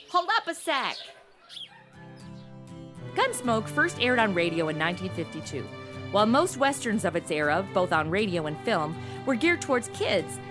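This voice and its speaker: An actress playing a snarky, irreverent young modern woman in a comedy audio drama, with casual slangy delivery. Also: fairly high pitch. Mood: informative.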